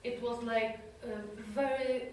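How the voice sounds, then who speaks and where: Slow, halting woman in an echoey room. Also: a little high, little pitch movement, a little mellow, a little hiss or hum. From Europe. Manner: halting, searching for words in a second language. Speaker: psychotherapist giving a talk. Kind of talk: personal talk to an audience. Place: talk room at an institute. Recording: echoey room from a distant mic.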